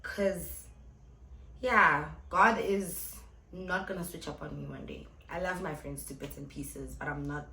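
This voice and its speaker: A woman's voice: deep voice, a little slow, vocal fry, sharp tone, roomy sound, poor audio. A woman in her twenties answering viewer questions in a casual vlog, chatty, blunt and playful, often addressing her viewers as guys. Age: 20s. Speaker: vlogger and club host.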